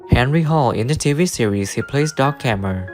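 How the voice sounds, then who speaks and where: A man's voice: mid-range voice, lively intonation, normal speed, darkish tone. Male narrator over guitar music. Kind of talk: scripted biographical narration. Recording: music under the voice.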